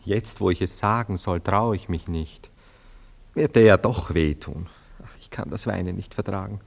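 An actor in a German radio play, playing a self-dramatizing, indecisive lover, effusive and hesitant. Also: male voice, low-pitched, moderate pace, creaky. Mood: earnest, hesitant. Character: self-dramatizing, sentimental, indecisive male lover, a Viennese dandy.

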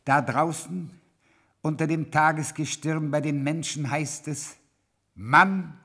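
Lordly old troll king, radio play, bright tone, slow and deliberate. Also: fairly high pitch, steady tone, heavily acted. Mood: lecturing. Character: old troll king, father of the bride, shrewd and commanding. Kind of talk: classic radio play acting.

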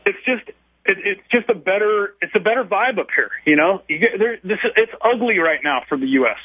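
A man's voice: sits high, expressive, fairly quick, slightly rough, dry recording. A man calling in to a radio show, arguing in a condescending, dismissive way. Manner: condescending, laughs at his opponent. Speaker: caller. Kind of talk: heated call-in argument. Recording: tinny phone line.

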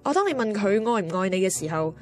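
A woman's voice: mid-range voice, big pitch swings, fast, natural voice. An actress playing a blunt, teasing, know-it-all female friend in a radio drama, emphatic, with a Hong Kong Cantonese accent. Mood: wry, musing. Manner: emphatic, mixes in English words. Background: music.

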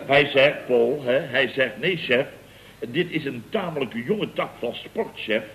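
An actor playing a blustering, self-important building contractor boss in a vintage radio comedy, with a pompous, rambling way of telling things. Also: male voice, medium pitch, lively intonation, unhurried, fairly bright tone. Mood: animated storytelling. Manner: pompous, rambling, chuckling raconteur with exclamations. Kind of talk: 1960s-70s Dutch radio comedy acting. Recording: dull vintage radio recording.

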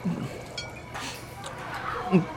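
An actor playing an excitable, naive young male idol in a comic audio drama, expressive and flustered, with music underneath. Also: extremely slow, muffled recording. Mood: hesitant, delighted. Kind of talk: audio drama acting, comedic.

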